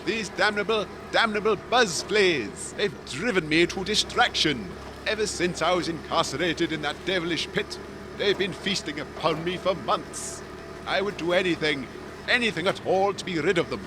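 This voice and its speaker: A man playing a courtly lion, an old-fashioned gentleman actor with a florid, pompous, wordy way of talking in a comedy audio drama. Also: high-pitched, moderate pace, noisy background, close to an everyday voice. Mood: exasperated.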